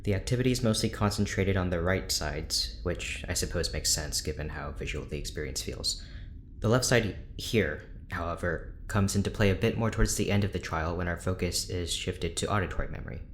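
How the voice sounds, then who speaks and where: Guy playing tired reserved doctor, real low pitch, hesitant clinical talk, some ambient noise. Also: fairly quick, natural voice. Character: reserved, weary, uneasy research doctor. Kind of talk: audio drama acting.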